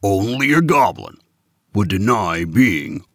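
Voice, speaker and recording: A man's voice: lowish voice, very animated, takes it slowly, no echo, clean studio sound, full character voice. A man voicing a large, stubborn guard in a children's story, pompous and bellowing. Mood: stern. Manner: pompous, bellowing, rigid logic. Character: big stubborn guard in red armor, booming.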